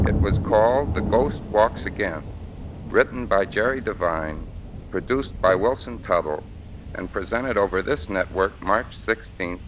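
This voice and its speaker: A man reading a formal, measured award presentation in scripted 1940s broadcast style. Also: on the low side. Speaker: radio critic presenting an award. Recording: muffled, faintly noisy 1940s radio broadcast.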